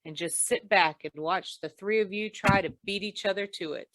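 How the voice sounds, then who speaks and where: A woman playing a tough, wry female insurance investigator in an old-time radio noir, clipped, deadpan and probing. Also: mid-range voice, normal speed, no echo, close to an everyday voice, rough recording.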